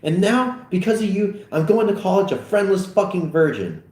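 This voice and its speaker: A fed-up teenage boy acted by a man at a script reading, indignant and sarcastic. His pitch sits well above a grown man's talk and jumps around a lot. The sound is roomy and echoey. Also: very animated, slow pace, warm tone. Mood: cold, fed up. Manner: indignant, cutting. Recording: echoey room.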